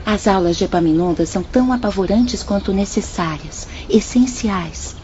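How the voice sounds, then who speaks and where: An actress with a Brazilian accent playing a kind, encouraging young spirit sister in a gentle, formal radio drama style. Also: female voice, mid-range voice, moderate pace, lightly acted. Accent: Brazilian Portuguese. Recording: dull band-limited audio, faint noise and ambience.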